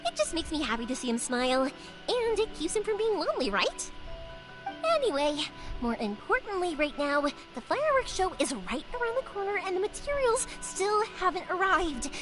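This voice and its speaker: A bubbly, kind young fireworks maker, very high in pitch and swooping in melody. Her delivery is expressive game acting, with music behind it. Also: normal speed. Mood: cheerful, fond. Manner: bright-spirited, expressive, reassuring. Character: bubbly, kind-hearted young woman who makes fireworks.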